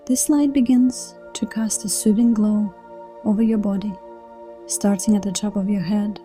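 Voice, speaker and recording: A woman reading a scripted sleep hypnosis session in a gentle, hushed, soothing manner. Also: mid-range voice. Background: soft new-age music.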